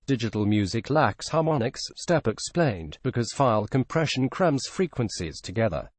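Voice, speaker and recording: A man reading a feature article aloud as scripted narration, in a measured journalistic delivery. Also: mid-range voice, very animated, no echo.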